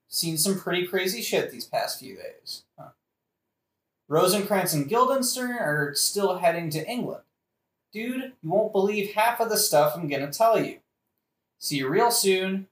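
Male actor as sardonic young sidekick: high voice, irritable, casual, audio-play comedy, roomy. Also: a bit bright. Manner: irritable, offhand, wry. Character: grumpy sarcastic young man, prince's best friend Horatio. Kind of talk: modern-language satirical audio play acting. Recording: roomy echo.

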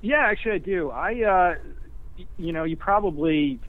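A man speaking as a guest screenwriter in a casual interview, halting and self-effacing. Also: high-pitched, no echo. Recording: muffled, tinny phone-like line.

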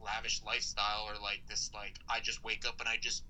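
A man's voice: on the low side, completely flat delivery, a little fast, pushed and bright, slight background noise, rough recording. A young American man speaking casually and informally as an interview guest, in a rambling, conversational way. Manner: rambling, informal, frequent "like" and swearing. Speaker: podcast guest, BMX rider.

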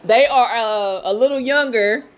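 A woman's voice: a little high, unhurried. A woman, hosting a frank, profane, laughing chat with friends. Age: 30s. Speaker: host. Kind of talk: casual chat.

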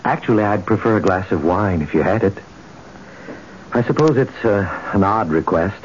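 A man playing an uncanny, insistent stranger in a radio mystery, speaking in a formal, measured, eloquent way. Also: fairly low pitch, lively intonation, natural voice. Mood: smooth, insistent. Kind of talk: old-time radio mystery drama acting. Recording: muffled old radio recording, faint noise.

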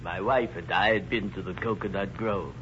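An actor playing a genteel, formally spoken husband in a 1930s radio crime drama. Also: male voice, low voice, steady tone, normal speed, dull audio, lightly acted. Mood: shaken. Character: genteel husband recounting his wife's shooting. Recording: noisy old 1930s radio recording.

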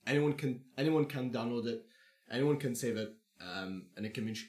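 A man giving his views in a casual group discussion, speaking in a measured way with frequent ums and restarts. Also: mid-range voice, darkish tone. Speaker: discussion participant. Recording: echoey room.

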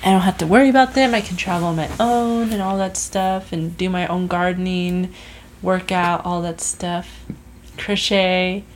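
A woman's voice: lowish voice, fairly even intonation, moderate pace, a little hiss or hum. A woman in her twenties chatting casually about her resolutions, telling a story with lots of fillers and restarts. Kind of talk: casual chat.

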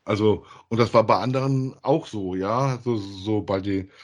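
A man, chatting casually as a podcast co-host, thinking aloud haltingly and repeating himself. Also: lowish voice. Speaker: podcast co-host reviewing audio dramas. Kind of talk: casual chat, reviewing audio plays.

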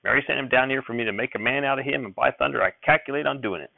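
Crotchety old country grandfather played by a male reader, storybook character, scolding, raised pitch, tinny band-limited audio. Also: racing, creaky, dry recording. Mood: yelling, exasperated. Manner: rustic old-time country speech, scolding. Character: crotchety, hot-tempered old farmer grandfather.

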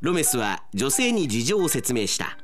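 A man's voice: mid-range voice, sing-song, normal speed, music in the background. A male announcer reading scripted narration for a radio drama.

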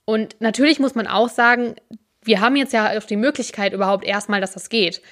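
A woman's voice: fairly high pitch, fairly quick, fairly bright tone. Chatty young woman explaining things. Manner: explains at length, often hesitates with fillers. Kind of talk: casual chat about subtitles and series.

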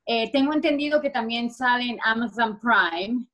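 Interview guest: middle-aged Venezuelan woman, Maracaibo accent, slightly high voice, talkative with lots of fillers. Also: normal speed, fairly bright tone. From Venezuela (Maracaibo, Zulia). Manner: chatty, many fillers and restarts. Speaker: actress guest. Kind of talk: interview conversation.

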